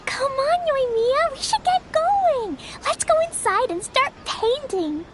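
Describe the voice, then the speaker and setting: Excitable, very high-pitched little girl. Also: takes it slowly, faint music behind, exaggerated voice. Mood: eager, cheerful. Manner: childlike, enthusiastic, simple wording. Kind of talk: animated game voice acting.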